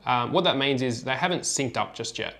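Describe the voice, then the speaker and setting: A man with a mid-pitched voice presents a hands-on tutorial. He explains each step informally, in a plain and instructional manner. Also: lively intonation, brisk, pushed and bright. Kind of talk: informal step-by-step product demonstration.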